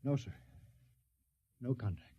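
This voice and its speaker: An actor playing an excitable military radio operator in a 1950s radio drama. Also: male voice, takes it slowly, close to an everyday voice. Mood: dutiful, subdued. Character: excitable male radio sergeant at ground base. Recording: dull, faintly noisy 1950s radio recording.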